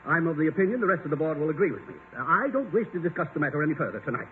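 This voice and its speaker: A man's voice: a little high, animated, very fast, natural voice. An actor playing an indignant, pompous college board chairman in a radio comedy-drama, stiff and scolding in manner. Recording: tinny 1950 radio recording, faint noise.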